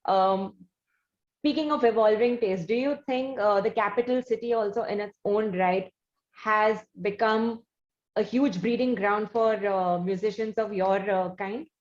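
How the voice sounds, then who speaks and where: Female host, Indian accent, deliberate halting questions, conversational, lo-fi room sound. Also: medium pitch. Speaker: interviewer, show host. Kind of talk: conversational music interview. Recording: roomy, dull lo-fi sound.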